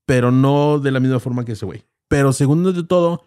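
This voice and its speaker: A man's voice: mid-range voice, a little fast, warm tone, clear, resonant tone, dry recording, studio quality. A man in his thirties with a Mexican Spanish accent, co-hosting a podcast and arguing his point insistently with lots of slang. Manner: insistent, argumentative, heavy slang. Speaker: podcast co-host. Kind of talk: heated casual debate. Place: small studio with foam panels. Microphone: studio mic on boom arm, headphones.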